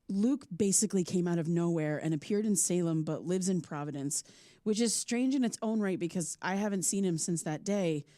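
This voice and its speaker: A woman's voice: fairly low pitch, fairly quick, dark tone, no echo, studio quality, lightly acted. An actress playing a troubled, haunted woman podcaster, earnest and hesitant, in a naturalistic audio drama. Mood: brooding, determined.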